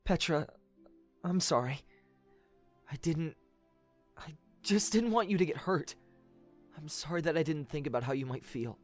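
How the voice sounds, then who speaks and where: A performer playing an earnest, gentle, self-sacrificing young man in an audio drama, with heartfelt delivery. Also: mid-range voice, a little slow, long pauses, faint music behind, close to an everyday voice. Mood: apologetic, earnest.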